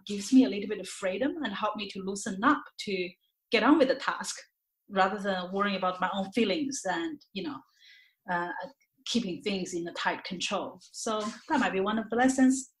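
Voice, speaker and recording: Woman reflecting haltingly in an echoey room. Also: medium pitch. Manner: halting, repeats words and phrases as she searches for them. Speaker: interview guest, author. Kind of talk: reflective, candid interview conversation. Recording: roomy, echoey sound.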